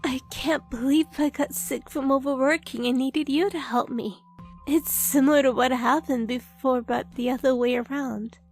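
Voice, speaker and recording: Shy, earnest young female romance lead played by a woman. High voice, sweet emotive anime-dub delivery, soft music underneath. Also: steady tone, normal speed. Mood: confessing. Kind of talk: anime-style dub acting. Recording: music bed behind the voice.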